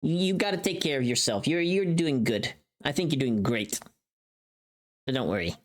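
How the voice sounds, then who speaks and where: A woman's voice: very deep voice, expressive, moderate pace. A woman chatting casually and candidly on a livestream while she works on recording, talking to her viewers. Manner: candid, swears casually, reads and answers chat. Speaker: live streamer.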